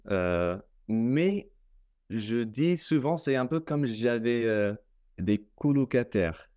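A young Canadian man, a native English speaker, talking in careful learned French on a video call, with many hesitant pauses. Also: mid-range voice, a little mellow. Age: young adult. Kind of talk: casual conversation in learned French. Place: small room at home. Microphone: laptop webcam mic on video call.

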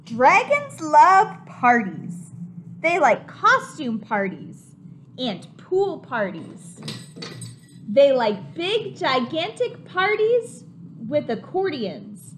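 Animated, high-pitched young woman reading to preschoolers. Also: takes it slowly, slight background noise. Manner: animated, simple explanatory teacher talk for small children. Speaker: preschool teacher. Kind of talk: children's picture-book read-aloud with questions to the listeners. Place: home kitchen, indoors.

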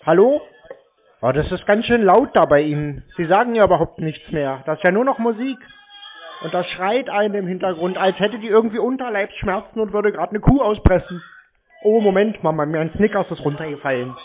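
A man on a prank phone call, acting confused in a deadpan manner. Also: sits high, a little fast. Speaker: prank call recipient baiting telephone scammers.

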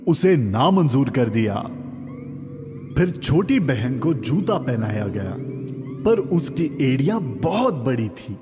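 A man narrating a scripted fairy tale for children as a storyteller. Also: medium pitch. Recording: long reverb, music underneath.

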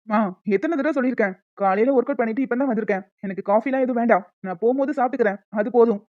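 A woman's voice: on the high side, racing, darkish tone, no echo, muffled recording, close to an everyday voice. A woman voicing a sensible young adult son in a cartoon family drama, matter-of-fact and reasoning.

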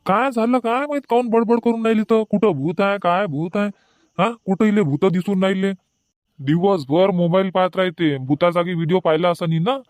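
A man's voice: high voice, no room sound, natural voice. A man playing a puzzled husband waking his wife from a nightmare, speaking with a Varhadi Marathi accent and fussing at her with nagging questions. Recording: dry close recording with faint music.

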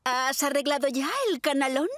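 Woman doing a shrill-high, fussy cartoon mother. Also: little pitch movement, moderate pace, over music, natural voice. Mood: concerned, gushing. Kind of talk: animated children's series dubbing.

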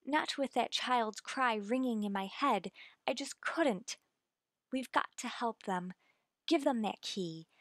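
A woman playing an earnest, anxious woman in love with a widower, in slow, pleading, melodramatic old-time radio suspense style. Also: fairly high pitch, dry recording, clean studio sound. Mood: tender, pleading. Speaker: radio drama actor.

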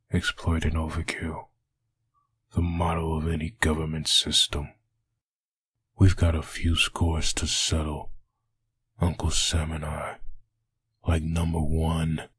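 A man voicing a brooding, vengeful undead antihero in a husky growl, delivering a grim inner monologue for a comic dub. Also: very deep voice, no room sound, full character voice. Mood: grim, resolute.

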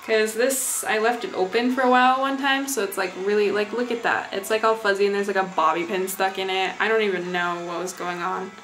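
A woman's voice: mid-range voice, steady tone, a little fast, few pauses, a bit bright. A young woman chatting casually through a makeup tutorial, rambling, distracted and self-deprecating. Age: young adult. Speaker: vlogger. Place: small bathroom indoors. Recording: echoey small room, music behind.

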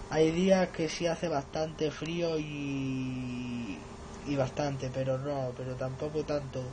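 Man, slightly high voice, casual radio chat, clear background noise. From Extremadura, Spain. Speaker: amateur radio operator.

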